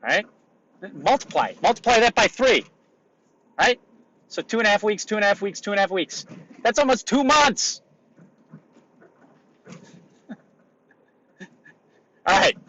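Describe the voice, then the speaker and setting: A man hosting a solo motivational podcast, raspy today, talking in an emphatic, repetitive coaching way. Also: high voice, expressive, moderate pace, long pauses, bright, forceful tone, muffled recording. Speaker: podcast host.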